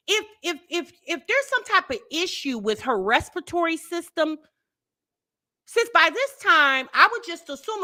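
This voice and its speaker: A woman's voice: sits high, bright, forceful tone. A woman hosting a reaction channel, chatting casually to her viewers, talking straight at them and repeating herself for emphasis.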